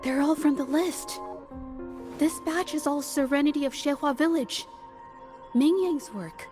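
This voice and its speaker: A high, gentle female voice plays an earnest young investigator in a game cutscene. She is soft-spoken and thoughtful, with faint music behind her. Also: fairly even intonation, measured pace. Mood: somber. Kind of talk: video game cutscene acting.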